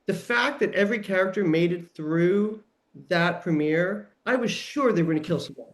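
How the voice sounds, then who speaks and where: A woman's voice: fairly low pitch, normal speed. A woman chatting casually on a podcast, enthusiastic and emphatic, with a conversational manner full of "like". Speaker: guest TV writer and producer.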